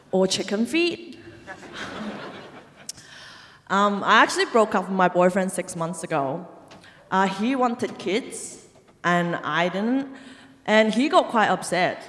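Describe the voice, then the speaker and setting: A young woman from Hong Kong doing a stand-up set on stage, delivering deadpan punchlines and laughing at her own jokes. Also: mid-range voice, a little hiss or hum. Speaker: stand-up comedian. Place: comedy club stage. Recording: live venue with long reverb. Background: audience laughter.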